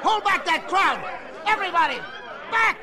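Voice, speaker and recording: An actor playing a commanding, harried army officer in a radio drama, gravelly, urgent and authoritative. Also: male voice, very high-pitched, lively intonation, normal speed. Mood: alarmed, barking. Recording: noisy crowd scene around the voice. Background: crowd noise.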